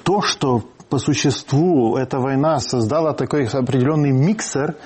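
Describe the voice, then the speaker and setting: A man's voice: medium pitch, moderate pace, a little mellow, breathy edge. A man with a Ukrainian accent, a political scientist guest explaining his views in a studio discussion, repeating words as he reasons.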